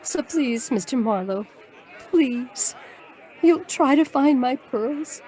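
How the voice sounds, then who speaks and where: Fragile lovelorn noir dame, very high voice, slow halting delivery, sentimental, emotional, audio drama. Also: female voice, expressive, dark tone, some chatter behind, poor audio. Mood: pleading. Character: fragile, lovelorn, sentimental woman client in distress.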